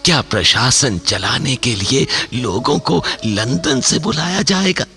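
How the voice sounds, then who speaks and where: An older man acting an ailing senior political leader in a Hindi radio play, reasoning in a deliberate, emphatic, fatherly way. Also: mid-range voice, expressive, sharp tone, soft background music. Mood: challenging, earnest.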